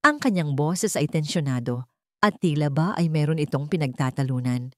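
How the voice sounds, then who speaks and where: A woman's voice: deep voice, lively intonation, moderate pace, darkish tone, dry recording, clean studio sound. A woman reading a scripted romance story as a dramatic narrator.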